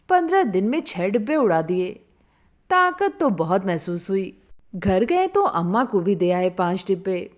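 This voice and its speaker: A woman narrating a humorous first-person story in an expressive, conversational way. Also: a little high.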